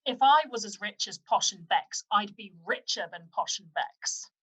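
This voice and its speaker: A woman's voice: medium pitch, normal speed, fairly bright tone, slight background noise, natural voice, low-quality recording. A woman playing a cheeky, envious young daughter in a radio pantomime, with a chatty comic delivery.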